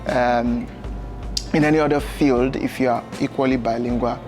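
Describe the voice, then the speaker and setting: Nigerian man talking flatly over music. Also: mid-range voice, normal speed. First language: Yoruba. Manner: relaxed, gestures with hands, fills with "you know" and "um". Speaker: guest. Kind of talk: casual interview conversation. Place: bright lounge with sofas, indoors.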